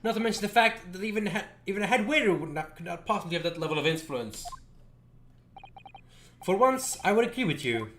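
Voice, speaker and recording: A man's voice: sits high, animated, a little fast, fairly bright tone, slight background noise. Man playing a pompous, blustering courtroom prosecutor. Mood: indignant.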